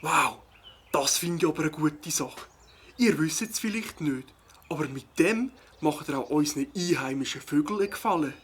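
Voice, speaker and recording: A man's voice: fairly high pitch, lively intonation, normal speed, a little hiss or hum, close to an everyday voice. A man playing a friendly, earnest ornithologist in a children's audio play, explaining patiently with a Swiss accent.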